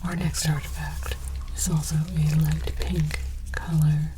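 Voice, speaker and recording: A woman playing a scholarly alien archaeologist in a whispered, deliberate ASMR lecture, fascinated by human artifacts. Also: sits low, flat delivery. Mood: hushed scholarly fascination. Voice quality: breathy whisper. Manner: slow, deliberate, mock-scholarly. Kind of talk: ASMR roleplay, whispered mock-documentary lecture. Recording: clear background noise with clicks.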